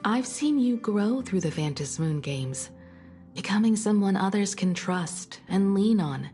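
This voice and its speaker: A woman playing a composed, caring starship navigator in a video game, speaking in a gentle, reflective, measured way like a proud mentor. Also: mid-range voice, expressive, faint music behind, close to an everyday voice. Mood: warm, reassured. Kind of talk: video game story dialogue.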